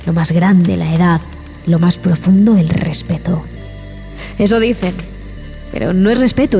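An actress playing a haunted explorer who tells a horror tale in a dramatic, deliberate way, in audio fiction. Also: female voice, on the low side, creaky, warm tone. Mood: uneasy, brooding. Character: haunted explorer recounting a terrifying jungle expedition.

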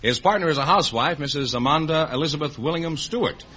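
A man's voice: on the high side, expressive, fast, bright, forceful tone, slightly rough. An American man working as announcer and sidekick on a 1950s radio quiz show. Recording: muffled old radio broadcast.

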